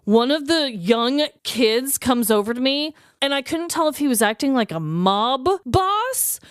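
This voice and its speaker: A woman with a fairly high, very expressive voice co-hosts a comedy podcast. She talks casually and swears freely, acting out dialogue as she goes, recorded close and dry. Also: measured pace, clean tone, dry recording. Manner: animated, sweary, acts out dialogue. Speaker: podcast co-host. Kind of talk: casual comedic storytelling and banter.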